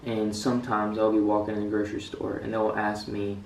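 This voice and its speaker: Young guy from the us, kinda low voice, lots of ums, sounds a bit far from the mic with faint room hum. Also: little pitch movement, brisk, creaky, reverberant. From United States. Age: young adult. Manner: rambling, many fillers and restarts. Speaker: student vlogger. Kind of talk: casual vlog talk.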